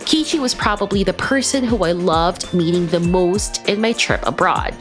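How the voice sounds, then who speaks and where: A woman hosts an interview podcast with an animated, expressive delivery, her pitch swinging freely as she leans into her enthusiasm. She is from the Philippines. Music plays clearly beneath her voice. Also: moderate pace. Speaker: podcast host. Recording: music bed behind voice.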